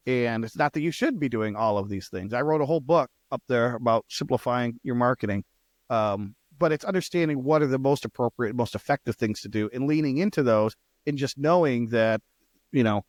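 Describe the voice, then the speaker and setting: A man's voice: mid-range voice, dry recording. A man co-hosting a casual marketing podcast, talking in a reflective way and summing up points. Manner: sums up, reflective and conversational. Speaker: podcast co-host.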